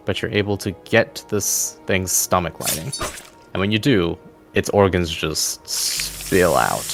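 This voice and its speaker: A man's voice: deep voice, gravelly. A man narrating a fantasy tabletop game as game master, in vivid descriptive storytelling. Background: quiet music.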